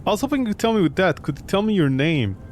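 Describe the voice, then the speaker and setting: A man voicing a steady, inquisitive young private detective in a game. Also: high voice, fast talker, a little hiss or hum, voice pushed up.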